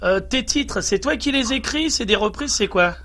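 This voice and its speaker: A male radio interviewer with a high-pitched voice. He asks his questions casually and haltingly, circling back to rephrase before getting to the point. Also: expressive, sharp tone.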